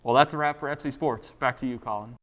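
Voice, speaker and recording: A teenage boy reads a scripted sports segment for a school TV show. He moves quickly through the copy in an even, mid-pitched voice. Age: teens. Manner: brisk, read from script. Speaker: student sports anchor. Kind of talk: scripted news reading. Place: school TV studio.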